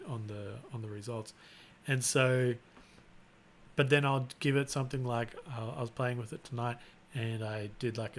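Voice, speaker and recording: A man hosting a live stream, chatting casually in a flat, rambling way and often restarting his sentences. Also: medium pitch, slight background noise. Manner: self-described monotone, rambling with restarts. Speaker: live-stream host and content creator.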